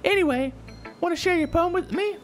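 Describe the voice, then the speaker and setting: A woman's voice: extremely high pitch, fairly quick. A woman voicing a cheerful, reassuring teenage girl club leader in a visual novel, friendly and encouraging. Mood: welcoming. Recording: game music under the voice.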